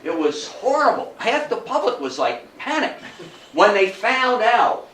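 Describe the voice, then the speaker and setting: A man's voice: high-pitched, very animated, unhurried, fairly bright tone. An older American man giving an informal history lecture, telling stories in a folksy, emphatic way to a live audience. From United States. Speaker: guest lecturer on old-time radio history. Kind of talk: informal public lecture with anecdotes. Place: indoor talk to a live audience at a historical society. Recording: roomy, distant mic with faint noise.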